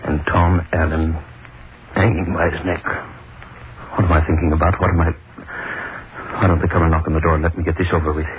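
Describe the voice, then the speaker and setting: An actor playing an obsessive, cruel hangman who gloats and broods over his work, in a 1930s radio drama monologue. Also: rumbling low. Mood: anxious. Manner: gloating, brooding, lingers on words. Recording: scratchy tinny 1930s radio broadcast.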